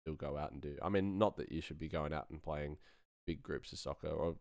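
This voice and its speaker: A man's voice: rumbling low, fairly quick, vocal fry, a little mellow, no room sound, studio quality. A man hosting a live stream, chatting informally and thinking aloud as he works on sound design. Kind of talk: casual tutorial chat while working.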